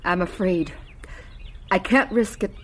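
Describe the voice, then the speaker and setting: A woman plays an unforgiving, protective woman in a radio drama. Her mid-pitched voice is cold, firm and clipped, leaving no room for argument. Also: a little slow, fairly bright tone, a little hiss or hum, close to an everyday voice. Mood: cold, stern.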